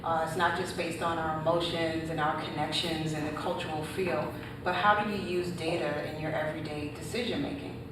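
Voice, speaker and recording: A woman moderates a panel, introducing a guest and framing questions graciously. Her voice sits slightly low, heard from a distance. The hall echoes heavily and there is constant noise behind her. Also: fairly even intonation, a little fast. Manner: gracious, brief affirmations. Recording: echoey room, distant mic, background noise.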